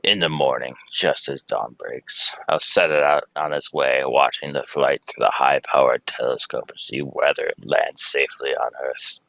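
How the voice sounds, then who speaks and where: Very deep, forceful, solemn scientist guy acting it out, grave and theatrical, old-time radio play. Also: steady tone, normal speed, vocal fry, few pauses, no room sound, full character voice, rough recording. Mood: earnest. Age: young adult. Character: grave, urgent Kryptonian scientist, husband and father. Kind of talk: live amateur reading of old radio script.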